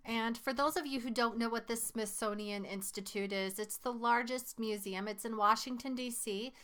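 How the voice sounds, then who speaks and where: A woman with a fairly high voice reads a lesson aloud as a teacher would. Her delivery is instructional and explanatory, and she slips into casual asides between the written passages. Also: steady tone, normal speed, a bit bright.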